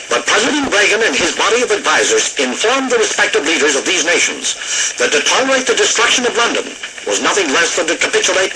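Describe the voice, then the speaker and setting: Bright-voiced, grave radio newsman played by a man. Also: fairly high pitch, slight background noise, heavily acted, poor audio. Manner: solemn, formal, measured. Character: grave American radio news anchor reporting nuclear war. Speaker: radio news anchor. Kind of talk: dramatized broadcast news bulletin.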